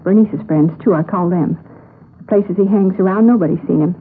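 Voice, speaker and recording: A woman's voice: lowish voice, fairly quick, slightly rough. An American woman playing the earnest, anxious and insistent sister of a missing wife in a 1950s radio crime drama. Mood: worried. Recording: tinny, noisy old radio recording.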